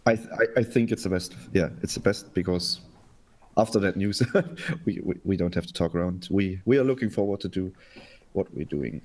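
Male co-host, low voice, friendly, a little stumbly. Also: fairly quick, a little mellow. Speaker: podcast co-host. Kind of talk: casual podcast chat.

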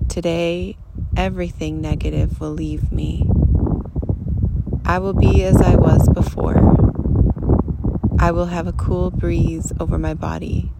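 A person leading a guided meditation in a gentle, soothing, unhurried manner, recorded live outdoors. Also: medium pitch, gravelly. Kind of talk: slow guided meditation and poem reading. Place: outdoors among hills and wildflowers. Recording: outdoor live recording, loud wind and nature noise. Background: wind, birds, nature sounds.

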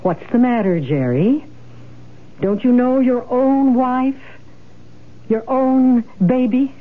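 An actress playing a bitter, sharp-tongued wife, with a pointed, accusing delivery in 1970s radio drama style. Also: female voice, a little high, takes it slowly. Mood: accusing, sarcastic. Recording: tinny, band-limited old radio broadcast.

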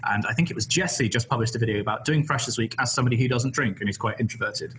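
Fast-talking young English man. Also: mid-range voice, fairly bright tone, slight background noise. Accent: English West Country. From West Country, England. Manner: quick, chatty, laughs often. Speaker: co-host of live Q&A. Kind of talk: casual live-stream chat.

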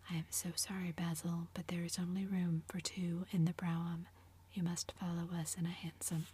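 A woman whispering the lines of a languid, witty aristocratic gentleman in a storybook reading, in a low, flat hush. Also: normal speed, slight background noise, lightly acted. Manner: whispered, epigrammatic, drawling.

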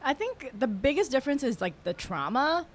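Woman journalist chatting on a podcast, bouncy pitch, swears a lot. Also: a little fast. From Southern California. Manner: lively, swears freely. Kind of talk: casual chat.

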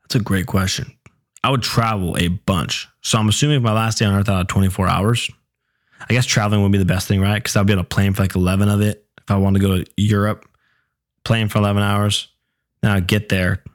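A young man hosting his own podcast in a casual, chatty way, with a sore, hoarse voice that is giving out. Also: fairly low pitch, fairly even intonation, fairly quick. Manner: laid-back, chatty, swears casually. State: sore throat, losing voice. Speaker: podcast host. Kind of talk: casual solo podcast chat.

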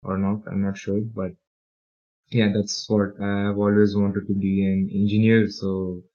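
Young man from the UAE, low steady voice, halting filler-heavy delivery, dull lo-fi audio. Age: young adult. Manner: hesitant, many fillers and restarts. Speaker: podcast co-host and interviewee. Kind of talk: casual interview.

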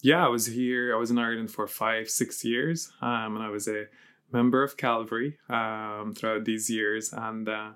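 A man's voice: fairly low pitch, steady tone, normal speed, fairly bright tone, clear, resonant tone. A man in his thirties from Lebanon, a university lecturer, chatting as a guest and laughing easily. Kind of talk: casual interview chat.

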